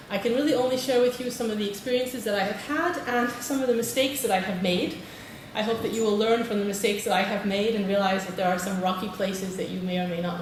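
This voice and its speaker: British woman presenting in an echoey hall. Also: mid-range voice. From Britain. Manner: friendly, polls the audience, frequent "okay". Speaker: conference presenter, teacher trainer. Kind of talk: conference presentation. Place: large conference hall. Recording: echoey hall, distant mic, noisy.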